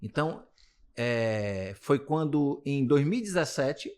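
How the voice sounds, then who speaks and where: A man's voice: mid-range voice, lively intonation, slow pace. A man in his late fifties with a Brazilian accent, a physiotherapist being interviewed, talking casually and rambling with many restarts. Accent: Brazilian Portuguese. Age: 50s. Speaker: physiotherapist guest.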